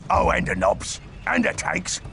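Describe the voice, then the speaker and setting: A boastful game orc with a thick gravelly growl and rough speech. He pitches high for a brute and drags his words out slowly, excitable and uncouth, with faint noise behind him. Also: heavily acted. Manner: broken grammar, boastful, exclamatory. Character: boastful, excitable orc stronghold boss, uncouth and easily panicked. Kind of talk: video game cutscene acting.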